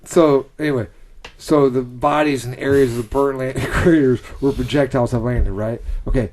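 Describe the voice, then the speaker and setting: A man co-hosting a podcast, informally reading out a pitch amid banter and often checking in with "right?". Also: steady tone, moderate pace, darkish tone, slight background noise. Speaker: podcast co-host pitching a movie trailer. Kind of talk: casual group banter, reading out a scripted pitch.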